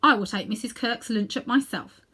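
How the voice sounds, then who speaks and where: A woman's voice: a little high, moderate pace, sharp tone, husky. A woman reading a storybook, voicing a tough, indignant old aunt who scolds and gives orders icily. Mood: brisk, bossy. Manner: icy, scolding, commanding.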